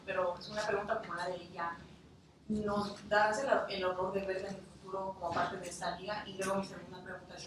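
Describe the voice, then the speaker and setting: Female press reporter, mid-range voice, conference questions, noisy and reverberant room sound. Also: mid-range voice. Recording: echoey room, distant mic, noisy.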